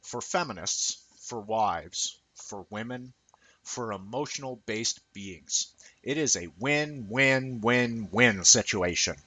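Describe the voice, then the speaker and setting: A man's voice: mid-range voice, expressive, slow pace, sharp tone, husky, no room sound, low-quality recording. Dry, close-sounding man reading an essay emphatically. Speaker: reader of an essay. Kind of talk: scripted essay reading, audiobook-like narration.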